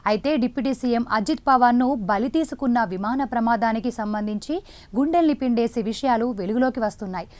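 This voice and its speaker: A woman with a noticeably high voice narrates a news report. Her scripted delivery is dramatic and emphatic, in the manner of a news presenter.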